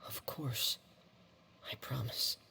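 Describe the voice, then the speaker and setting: A man's voice: takes it slowly, sharp tone, in a whisper. A man playing a shy, subdued younger brother in a video game, speaking hesitantly. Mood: shy, sincere. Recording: game audio under clear background music.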